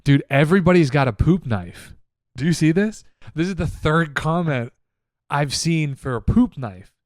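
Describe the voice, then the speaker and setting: A man's voice: on the high side, lively intonation, normal speed, a little mellow, no echo, clean studio sound. A man in his thirties co-hosting a casual reaction podcast, mocking clips with heavy swearing and frequent laughs. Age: 30s. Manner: profane, mocking, laughs often. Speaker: podcast co-host.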